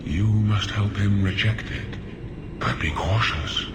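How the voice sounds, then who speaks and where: A languid demon of sloth with a low, slow drawl, smug and sly. The game recording has loud noise behind the voice. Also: male voice. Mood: smooth persuasion. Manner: slow, drawling, smugly unhurried. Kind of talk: video game cutscene acting. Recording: very noisy game capture with background ambience.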